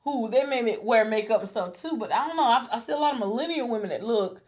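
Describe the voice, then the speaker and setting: Woman, 30s, podcast host, casual chat, animated storytelling. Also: fairly high pitch, fast talker. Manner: animated storyteller, acts out quoted voices.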